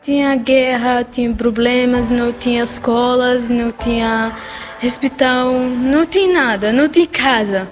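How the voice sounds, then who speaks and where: Teenage girl in halting learner's Portuguese. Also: fairly high pitch, steady tone, big-room sound, music in the background. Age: teens. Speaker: interviewed student. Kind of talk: news interview.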